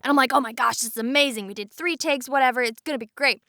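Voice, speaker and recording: A woman with a smooth, fairly high voice talks casually as a voice actor among castmates. She is animated and quick to mock herself, and the sound is dry and close. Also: no room sound. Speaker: voice actor on an audio drama. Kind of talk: casual cast discussion.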